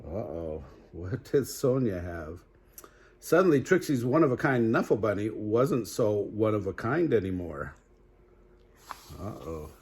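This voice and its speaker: An older man with a husky voice reads a picture book to children. He takes his time, at a slow pace, and breaks off for chatty asides and questions to the listener. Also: lowish voice, sing-song, a little mellow. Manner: unhurried, pausing to question the listener. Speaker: grandfather reading children's books aloud. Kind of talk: read-aloud storytelling with chatty asides to young listeners.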